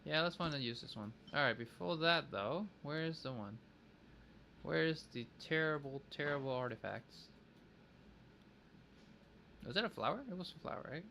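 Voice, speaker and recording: A man's voice: a little high, expressive, moderate pace, creaky, long pauses, bright, forceful tone. A man doing casual livestream gameplay chat, thinking aloud with playful, childish wording. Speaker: game streamer. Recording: faint background noise.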